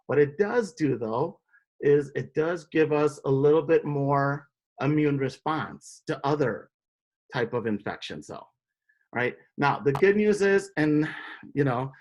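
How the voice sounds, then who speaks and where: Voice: mid-range voice, poor audio. Lecturer from indonesia, explaining stuff step by step, keeps tossing in "right?" to the class. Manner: explanatory, often checks in with a rhetorical "right?". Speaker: biology instructor.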